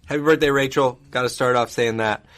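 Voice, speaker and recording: A man co-hosts a church podcast at an average pitch. He chats casually and sounds upbeat, like he is promoting church events. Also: fairly even intonation, fairly quick, a bit bright. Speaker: podcast host.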